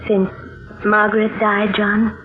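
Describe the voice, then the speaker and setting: An actress playing an anxious, devoted young bride in earnest, hesitant 1940s radio melodrama. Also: female voice, medium pitch, monotone, slow pace, lightly acted. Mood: tender. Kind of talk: 1940s radio drama acting. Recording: tinny old radio, loud music.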